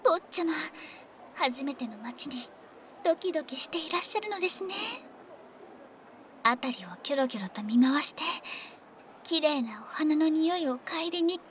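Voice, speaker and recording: A woman's voice: high voice, lively intonation, normal speed, long pauses, fairly bright tone, a little hiss or hum. A woman voicing a devoted dragon maid in an ASMR audio drama, speaking with formal, servile politeness and often whispering. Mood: doting. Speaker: voice actor.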